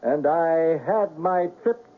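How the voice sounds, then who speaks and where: A wry, folksy lawman from an old radio play. He takes his time, in a husky voice that rides high for a man. The recording is tinny and narrow. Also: slow pace, a little hiss or hum. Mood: wry, amused. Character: wry, folksy detective posing as a shoe salesman.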